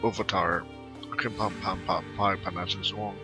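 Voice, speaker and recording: A man's voice: low-pitched, steady tone, fairly quick. A man giving informal, rambling, somewhat mumbled commentary as he plays a video game. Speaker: gamer recording a playthrough. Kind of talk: casual gameplay commentary, reading out dialogue choices. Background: game music.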